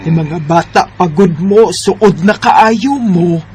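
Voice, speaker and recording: A man's voice: high voice, takes it slowly, a little mellow, slightly rough, full character voice. A man voicing a middle-aged mother who coaxes and fondly nags in a Bisaya radio drama. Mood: coaxing. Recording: faint background noise.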